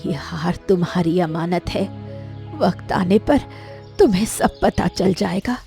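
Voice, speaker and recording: A woman voicing a frail, ailing elderly mother in a dramatic story, weary, gentle and solemnly firm. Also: lowish voice, measured pace. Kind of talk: dramatic animated story acting. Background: music.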